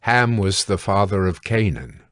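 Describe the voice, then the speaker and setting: A man narrates scripture in a fairly low voice. His delivery is very unhurried, measured and sober. The sound is dry and close, free of room echo. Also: expressive, a bit bright.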